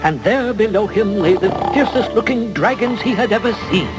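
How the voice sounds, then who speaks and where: High-voiced fairy-tale storyteller. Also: male voice, lively intonation, moderate pace, low-quality recording. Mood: storytelling. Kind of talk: scripted storybook narration. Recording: loud music behind the voice.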